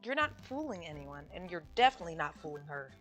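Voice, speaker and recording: Lowish-voiced teasing woman game streamer. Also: very animated, fast, a bit bright. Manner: teasing, gushing. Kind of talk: casual game commentary. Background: game music.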